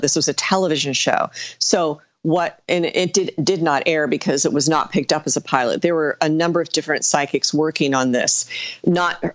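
Low-voiced woman telling her story. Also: dry recording. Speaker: psychic medium, guest. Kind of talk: conversational storytelling interview.

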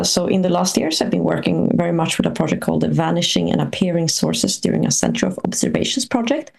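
A woman's voice: lowish voice, creaky, a little mellow. A young woman, not a native English speaker, answering interview questions as a researcher, hesitant with many uhs. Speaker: guest astrophysicist.

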